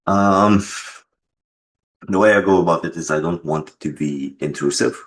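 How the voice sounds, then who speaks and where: A man explaining his creative choices in an interview, measured in manner, on a noisy mic with clicks. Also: deep voice. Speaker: game developer being interviewed.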